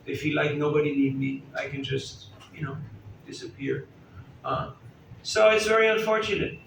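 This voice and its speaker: Man, sermon, fairly high voice, conversational, asks the crowd questions, distant mic, faint background noise. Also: expressive, normal speed, creaky, a bit bright, reverberant. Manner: chatty, asks the audience questions and jokes. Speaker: spiritual teacher giving a talk. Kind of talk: conversational sermon to a live audience. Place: indoor hall at a festival gathering.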